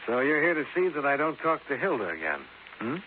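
An actor playing a skeptical, businesslike American insurance investigator in 1950s radio detective drama, clipped and sardonic. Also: male voice, fairly high pitch, big pitch swings, brisk. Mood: skeptical, needling. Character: hard-boiled male freelance insurance investigator, skeptical and businesslike. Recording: noisy, hissy, narrow-band 1950s radio recording.

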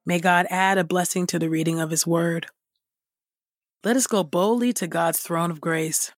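A woman reading scripture and praying as a devotional host, with measured, reverent phrasing. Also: lowish voice, steady tone, dry recording, clean studio sound. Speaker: devotional podcast host and Bible reader.

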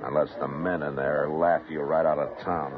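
An actor playing a stern, calm frontier marshal in an old radio western drama, firm and steady. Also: male voice, extremely low pitch, normal speed, a crowd in the background. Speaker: lawman. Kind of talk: 1950s radio drama acting. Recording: tinny old radio broadcast.

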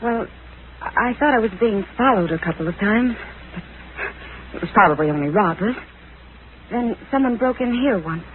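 A woman's voice: a little high, expressive, normal speed, pauses often, close to an everyday voice. A woman acting in a 1940s radio drama as an anxious, glamorous woman caught up in a mystery, with a dramatic, hesitant delivery. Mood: defensive, uneasy. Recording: tinny, hissy old radio recording.

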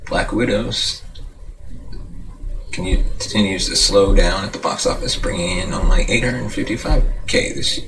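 A man hosting a movie show, reading scripted news and reviews in a slightly halting way. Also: low-pitched. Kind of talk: scripted narration, reading box office news and a film review. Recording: noisy room, distant microphone.

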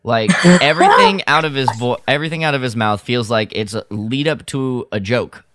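A man's voice: medium pitch, big pitch swings, normal speed, a bit bright. A man co-hosting a podcast, talking casually with the others. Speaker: podcast co-host.

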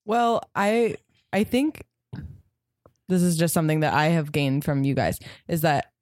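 Low-voiced woman, earnest and halting. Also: a little mellow, no echo, studio quality. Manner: earnest, self-conscious, halting. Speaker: improv performer guest. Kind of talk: casual chat.

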